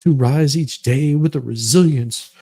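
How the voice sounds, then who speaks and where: A man voicing a self-pitying, melodramatic woman in a slow, overwrought, mock-solemn dramatic reading of a grievance essay. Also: mid-range voice, vocal fry, dark tone, slightly rough. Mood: solemn, indignant.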